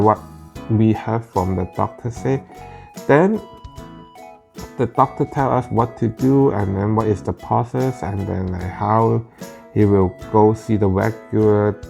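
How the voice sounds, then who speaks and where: Slow, gravelly man telling a family story. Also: mid-range voice, mellow. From Northern California Bay Area. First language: Cantonese. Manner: halting, frequent "like" and restarts, plain non-native phrasing. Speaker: parent vlogger. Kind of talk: casual personal storytelling. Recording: faint guitar music behind.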